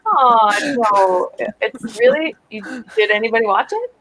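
A woman's voice: on the high side, lively intonation, measured pace, crowd noise, poor audio. A woman representing an industry council, talking casually on a video call and laughing often. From Canada. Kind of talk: casual chat. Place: home room with green screen.

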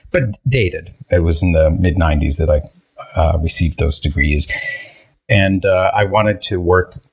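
Very deep, husky-voiced man in his fifties. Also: a bit bright, poor audio. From Israel. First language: Hebrew. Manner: hesitant, many restarts and fillers. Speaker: podcast guest. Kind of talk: casual interview storytelling.

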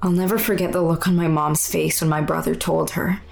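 A woman's voice: low-pitched, steady tone, a little fast, vocal fry, darkish tone. A young woman acting in an audio drama as a weary, candid non-binary muse, telling their story in a plain, conversational first-person way. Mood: candid, wistful. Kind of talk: confessional monologue in audio fiction. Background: music under the voice.

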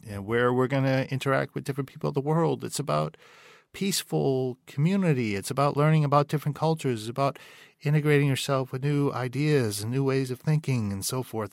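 A man, a writer and director, answering interview questions thoughtfully, pausing and restarting as he searches for words. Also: mid-range voice, no echo, clean studio sound.

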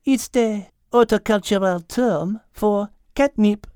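Man as a high-voiced, composed lady cat. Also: takes it slowly, no room sound, studio quality. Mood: calm, firm. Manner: calm, certain, matter-of-fact. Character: calm, elegant petite female French cat.

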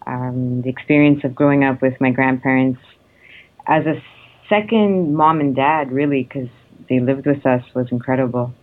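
A woman in her thirties from Canada, a guest actress answering interview questions in a reflective way with many pauses and ums. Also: very deep voice. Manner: warm, hesitant, lots of ums. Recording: tinny phone-like line.

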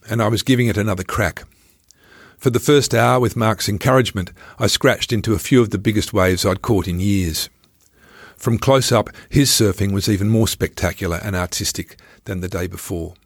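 Low-voiced man narrating, close dry sound. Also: normal speed, dry recording. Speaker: author reading own memoir. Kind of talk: scripted first-person travel storytelling, narration.

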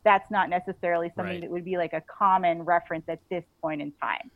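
A woman being interviewed on a casual podcast, telling stories in an animated way and acting out bits of dialogue. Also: lowish voice, fast talker, dry recording, narrow, tinny audio. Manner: animated storytelling, quotes imagined dialogue, giggly. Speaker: author, interview guest.

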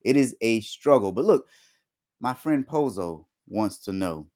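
A man's voice: fairly low pitch, lively intonation, measured pace, darkish tone. Joking man hosting a live podcast. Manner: joking, conversational. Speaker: podcast host. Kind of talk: casual live-stream chat.

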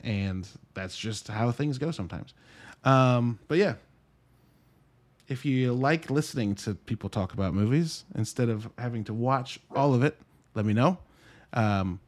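Guy in his early 30s doing a loose chatty movie podcast, rambly, jokey, kinda sweary, medium pitch. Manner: rambling, informal and profane, joking asides. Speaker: podcast host and movie reviewer. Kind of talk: casual solo movie review podcast.

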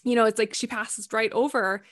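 A woman's voice: fairly high pitch, steady tone, normal speed. A woman health coach talking in a casual conversation, chatty and full of "like" and "you know".

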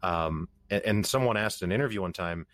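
A man's voice: sits low, brisk, a bit bright. A man hosting an interview podcast, talking casually with stammering restarts and easygoing asides. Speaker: podcast host.

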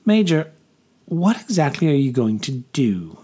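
A man's voice: mid-range voice, sing-song, measured pace, mellow, slightly rough. A man voicing a formal, concerned noblewoman in an audiobook. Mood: puzzled. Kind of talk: audiobook character dialogue.

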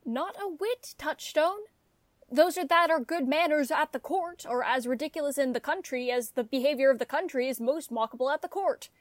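A woman's voice: high voice, moderate pace, no room sound, natural voice. A woman playing an honest, contented, plain-spoken male shepherd in a Shakespearean radio play. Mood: puzzled, defensive.

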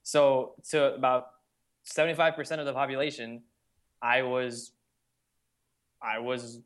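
A man's voice: medium pitch, level tone, measured pace, a bit bright, smooth. A young adult man speaks as a podcast guest, recounting his own experiences. His talk is earnest and conversational, looping back on itself with frequent "like"s as he works through his thoughts. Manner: earnest storytelling, frequent "like" fillers. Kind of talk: casual conversation.

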